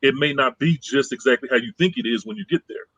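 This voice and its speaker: A man with a husky, slightly breathy voice talks through his view in a casual podcast chat. He sounds calm and conciliatory, circling back and repeating phrases. The sound is dry and close, with no room echo. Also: medium pitch, quick pace. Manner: conciliatory, rambling, repeats himself.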